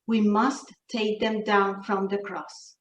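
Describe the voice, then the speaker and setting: A woman's voice: medium pitch, flat delivery, takes it slowly. Woman reading from a roomy distance. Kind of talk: reading quotations at a memorial. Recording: roomy, distant mic with faint noise.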